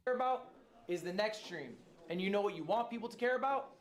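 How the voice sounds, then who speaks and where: A man's voice: high voice, lively intonation, a little fast. A man bluntly giving emphatic streaming advice, with some swearing. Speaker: speaker in the watched video. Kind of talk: motivational advice.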